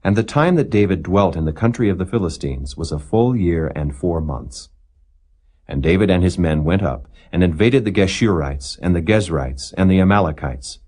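A man narrating scripture from a script in a solemn, formal, measured manner. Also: deep voice, lively intonation, darkish tone, slightly rough. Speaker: Bible narrator.

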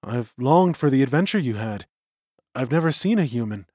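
A man's voice: medium pitch, lively intonation, normal speed, darkish tone, no echo, clean studio sound, natural voice. A man voicing an eager young adventurer.

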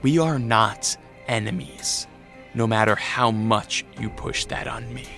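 A man playing a brooding, principled antihero with a thirst for blood in a radio drama, solemn and deliberate. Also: mid-range voice, pushed and bright, faint music behind. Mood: solemn defiance.

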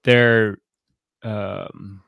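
A man with a fairly low-pitched voice talks casually about books as a podcast co-host. He circles his thoughts haltingly, often doubling back on words. The sound is very dry, with no room around it. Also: little pitch movement, gravelly, no room sound, highs cut off. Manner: halting, thinking aloud, repeats words. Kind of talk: casual literary discussion.

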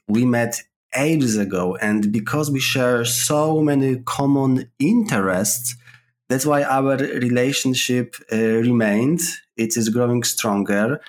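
A man from Poland with a Polish accent, a podcast guest who explains his views with examples on a call. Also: mid-range voice. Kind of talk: casual interview chat. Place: remote call.

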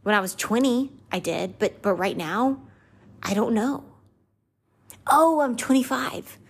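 A woman's voice: on the high side, animated, unhurried, gravelly, breathy edge. A woman in her twenties hosting a podcast, talking earnestly and confessionally about gratitude and faith. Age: 20s. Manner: earnest and confessional, voices her own doubts in a mocking lilt. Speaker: podcast host. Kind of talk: personal faith reflection monologue.

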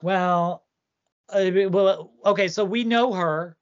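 A woman's voice: fairly low pitch, slow pace, dull audio. A woman co-hosting a podcast, chatting casually and rambling with lots of "like". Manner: chatty, rambling, heavy use of "like". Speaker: podcast co-host. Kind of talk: casual chat about song contests.

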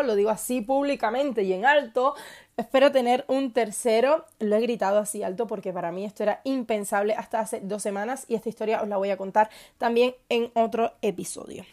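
A woman from Cuba hosting her own podcast, telling her personal story in a confiding, enthusiastic way with many pauses and fillers. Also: on the high side, darkish tone. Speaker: podcast host.

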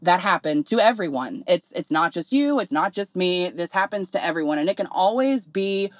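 A young woman, slightly hoarse, hosting her podcast in candid, rambling casual talk full of fillers. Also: on the low side, expressive, moderate pace, no echo, studio quality. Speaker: podcast host.

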